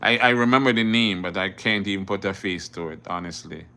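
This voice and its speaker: A man's voice: low-pitched, fairly quick, bright, forceful tone, clean tone. A middle-aged man from Jamaica, a driving instructor, hosting a casual live Q&A where he reads viewer questions aloud and answers them plainly. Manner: repeats words and restarts often. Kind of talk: casual livestream Q&A.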